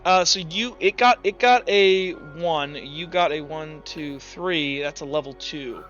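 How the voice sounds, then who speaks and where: A man's voice: fairly high pitch, a little slow, fairly bright tone, dull audio, faint music behind. A man casually narrating a tabletop roleplaying game as game master, thinking aloud and correcting himself as he goes.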